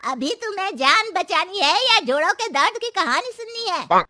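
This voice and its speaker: A woman's voice: very high voice, very animated, moderate pace, pushed and bright, a little hiss or hum, full character voice. A woman playing a bold, teasing elderly mother-in-law in a children's story cartoon, brash and sarcastic as she scolds. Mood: defiant, commanding.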